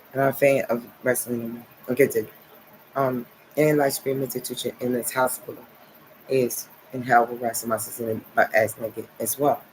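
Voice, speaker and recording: Man, 40s, from New Jersey; repetitive, rambling solo vlog, mid-pitched voice over faint background noise. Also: poor audio. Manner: rambling, repetitive, filler "okay" and "nice". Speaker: vlogger. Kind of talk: rambling monologue to camera.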